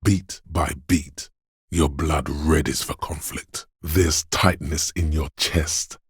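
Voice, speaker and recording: A paranoid voice of primal fear inside the head, extremely low and heavily rasping. It narrates a dark video game in the second person, slowly and ominously, as if every detail were a threat. The sound is close and dry. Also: male voice, fairly even intonation, dry recording, full character voice. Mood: ominous dread. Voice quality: very deep, gravelly, husky. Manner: ominous, slow, deliberate delivery. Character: menacing, paranoid inner voice of primal fear and aggression.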